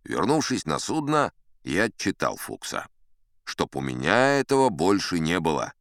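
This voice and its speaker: An actor playing a boastful old sea captain who spins tall tales in an audiobook, conversational and self-important, with wry dramatic pauses. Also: male voice, low-pitched, huge pitch swings, a bit bright, dry recording, studio quality, heavily acted. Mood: wry, self-satisfied.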